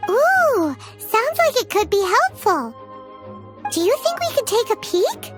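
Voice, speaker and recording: A woman voicing a tiny, childlike female game companion, squeaky, cheeky and excitable, speaking of herself in the third person. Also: slow pace, music in the background, heavily acted. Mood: eager. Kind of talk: anime game voice acting.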